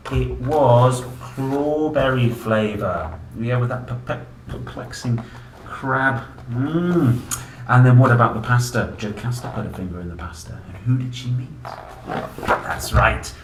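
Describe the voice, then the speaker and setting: A man reading a picture book aloud to young children in a playful, theatrical way, exclaiming and putting questions to the listener. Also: mid-range voice. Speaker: children's book reader and host. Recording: roomy, distant mic, clearly noisy.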